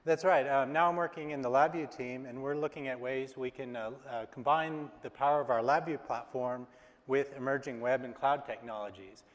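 A man presenting a product on a live stage, relaxed and speaking with frequent fillers. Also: mid-range voice, moderate pace, lo-fi sound. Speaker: IT engineer presenting. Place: large stage, hall. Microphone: lapel mic. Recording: reverberant hall.

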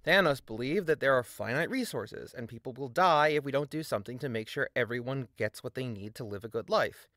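A man delivers scripted video essay narration with a very animated pitch. He is sardonic and emphatic, dropping in dry asides. The recording is close and dry, with little room sound. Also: a little fast, few pauses, dry recording.

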